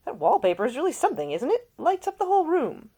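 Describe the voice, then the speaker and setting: A man's voice: extremely high pitch, huge pitch swings, quick pace, mellow, voice pushed up, full character voice. A woman voicing a breezy, idle young aristocratic man at a party in an audiobook. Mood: breezy, chipper. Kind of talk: audiobook character voice.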